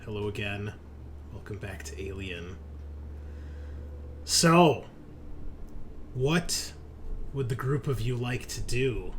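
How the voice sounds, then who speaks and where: A man's voice: fairly low pitch, expressive, slow, pauses often, a little hiss or hum. A man hosting and game mastering a tabletop roleplaying session in an informal, casual way.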